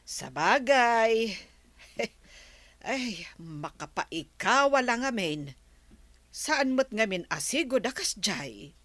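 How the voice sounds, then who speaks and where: A woman's voice: fairly high pitch, very animated, drawn-out words, a bit bright, dry recording, exaggerated voice. An actress playing a curious, meddling married village woman in a radio comedy drama. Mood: prying.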